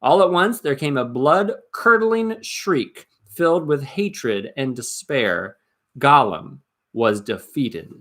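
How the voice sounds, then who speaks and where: Guy reading a storybook dramatically, sharp bright voice, suspenseful and expressive. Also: mid-range voice, slow pace. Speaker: storybook reader. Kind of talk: dramatic audiobook narration.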